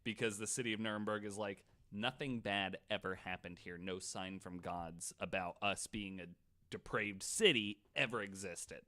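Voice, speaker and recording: A man's voice: on the low side, normal speed, bright, forceful tone. A man hosting a casual podcast, telling a story with plenty of swearing and "like" thrown in. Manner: swears freely; peppers talk with "like" and "right". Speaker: podcast host.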